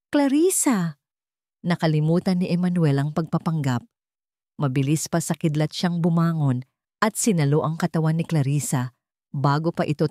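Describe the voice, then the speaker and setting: A woman's voice: low-pitched, mellow, dry recording, clean studio sound. A woman reading scripted fiction as a dramatic story narrator.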